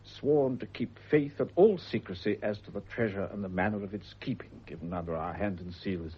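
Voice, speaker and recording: An actor playing a courteous, ceremonial Crown official with a British accent in a radio drama, speaking in a formal, measured way. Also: male voice, lowish voice, husky, dull audio. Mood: formal, solemn.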